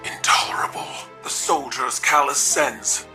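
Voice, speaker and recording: A man voicing a formal, battle-weary alien ruler in a video game, speaking in solemn, measured sentences. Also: medium pitch, fairly even intonation, music in the background, close to an everyday voice. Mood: stern contempt.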